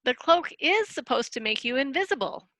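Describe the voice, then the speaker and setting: A woman's voice: high voice, animated, moderate pace, pushed and bright, no room sound. A woman playing a proud, defensive royal wizard in a storybook readers theater, reciting in a grand, declamatory way.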